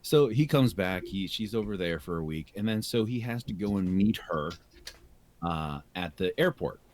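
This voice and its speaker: A man co-hosting a podcast, chatting in an animated, rambling way, with frequent laughs and a steady stream of fillers. His voice sits slightly low. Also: normal speed. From United States. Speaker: podcast co-host. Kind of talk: casual chat, storytelling.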